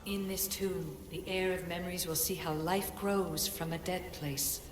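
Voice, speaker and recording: A woman playing a solemn, cryptic ancient guide in a video game, speaking in a measured, formal, oracular way. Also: fairly low pitch, fairly even intonation, noisy background, lightly acted. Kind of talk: scripted video game drama. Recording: long echoing reverb, music behind.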